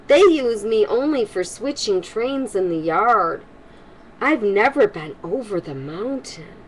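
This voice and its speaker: A woman voicing a small female blue train engine in a storybook, kind-hearted and modest but determined, speaking earnestly and gently. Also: mid-range voice, animated, slow, slight background noise, low-quality recording. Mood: modest, unsure. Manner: earnest, gentle, chanting with growing resolve.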